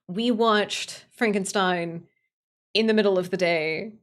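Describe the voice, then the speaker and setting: A woman's voice: medium pitch, slow. A woman chatting casually on a livestream, answering viewers in a gushing way and repeating phrases for emphasis. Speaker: livestream host.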